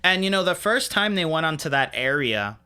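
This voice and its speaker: A man's voice: a little high, big pitch swings, a little fast, bright, forceful tone, clean tone. A man co-hosting a podcast, chatting casually about films in an enthusiastic, emphatic way. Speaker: podcast co-host. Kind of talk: casual film chat.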